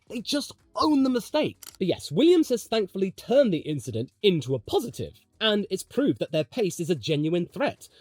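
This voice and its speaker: A man's voice: on the high side, a little mellow, dry recording. A British man hosting a commentary video, speaking in a chatty, colloquial and emphatic way with plenty of opinion. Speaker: motorsport commentary video host.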